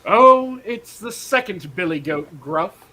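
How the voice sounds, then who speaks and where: A man's voice: sits high, expressive, slow, sharp tone, slight background noise, pitched above the performer's own voice, exaggerated voice. A man reading a storybook and voicing an anxious middle billy goat brother who pleads. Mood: anxious pleading.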